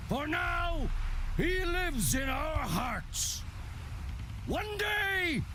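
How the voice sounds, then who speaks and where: An actor playing a solemn, commanding clan elder in a video game, giving a ceremonial oration. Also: male voice, very high-pitched, very animated, sharp tone, a little hiss or hum, full character voice. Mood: solemn, proclaiming. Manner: slow, ceremonial, oratorical.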